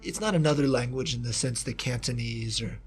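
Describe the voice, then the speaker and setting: A man from Kentucky, a historian of East Asia, talking about his research in a relaxed interview, with many asides and restarts. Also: mid-range voice, a little fast, music in the background. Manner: thoughtful, rambling with asides and restarts. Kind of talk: casual reflective interview talk.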